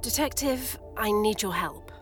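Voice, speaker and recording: An actress playing a determined, grieving wife in a noir mystery audio drama, earnest and dramatic. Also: female voice, medium pitch, animated, unhurried, close to an everyday voice. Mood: pleading. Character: determined grieving wife seeking her missing husband. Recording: loud dramatic music over the voice.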